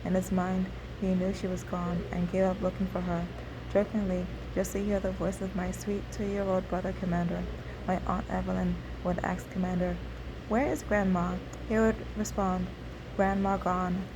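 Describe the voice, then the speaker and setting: A woman whose native language is Igbo narrates a scripted first-person memoir, reading in a halting way with occasional slips. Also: on the low side, level tone, background noise. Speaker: memoir author reading own story.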